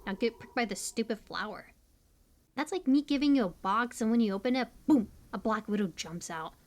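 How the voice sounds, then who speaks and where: A woman's voice: on the high side, lively intonation, a little fast, close to an everyday voice. A woman playing a hyperactive, kooky young stranger in an audio roleplay, rambling and stammering through playful, slangy banter addressed to the listener. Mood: awkward, opinionated. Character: hyperactive, chatty, kooky young female cemetery stranger, teasing.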